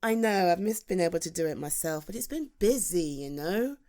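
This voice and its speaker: A woman's voice: on the low side, brisk, close to an everyday voice. A British woman playing a playful, chatty female best friend in a humorous, conversational ASMR roleplay. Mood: relaxed, friendly. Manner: animated, conversational, teasing, with laughs and sighs.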